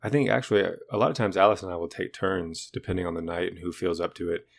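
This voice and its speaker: A man with a naturally deep voice, co-hosting a podcast in a rambling, self-deprecating chat. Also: steady tone, fast, creaky. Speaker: podcast co-host. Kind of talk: casual chat.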